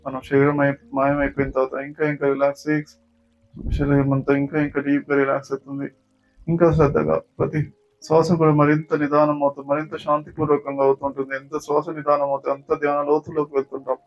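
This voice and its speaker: A man's voice: on the high side, monotone. Telugu guy doing guided meditation, soothing and repetitive, muffled lo-fi audio, music playing behind him. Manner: slow repetitive soothing instruction. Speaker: meditation teacher. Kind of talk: guided yoga nidra meditation. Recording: dull lo-fi sound with music. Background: background music.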